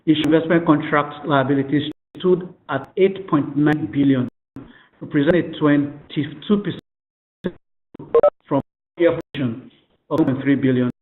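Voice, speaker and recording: A man formally presenting financial results on a conference call, reading figures aloud in a halting way. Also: a little high, very noisy. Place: conference call phone line. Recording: tinny, echoey telephone conference line.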